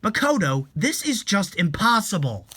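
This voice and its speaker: A man's voice: high voice, sing-song, normal speed, bright, forceful tone, breathy edge, pitched above the performer's own voice, exaggerated voice. A man voicing a stern, indignant older relative who scolds in a dramatic story reading.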